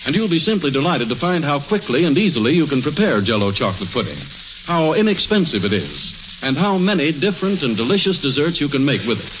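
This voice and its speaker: Animated old-time radio announcer man. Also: on the high side, fast. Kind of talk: 1940s radio advertisement narration. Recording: hissy muffled old radio broadcast.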